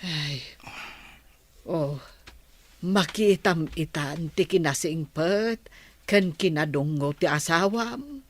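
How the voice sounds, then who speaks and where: A woman's voice: low-pitched, slow pace, long pauses. An actress playing a concerned, admonishing older mother in a radio drama, with a sighing manner.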